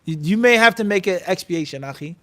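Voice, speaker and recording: A man's voice: high-pitched, fast. A man teaching an Islamic class in a conversational, prompting way, asking his listeners questions and mixing Arabic terms into his English. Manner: animated question-and-answer, prompting listeners to finish his sentences. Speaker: Islamic teacher leading a live-streamed class. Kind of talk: religious lecture to a class, conversational. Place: mosque class.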